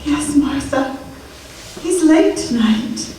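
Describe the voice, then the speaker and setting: A woman's voice: high voice, lively intonation, slow pace, dark tone, very echoey, far from the mic, slight background noise. An actress playing a grieving mother of a poor family, tender and mournful, in 1930s radio drama acting, heard on an old broadcast recording.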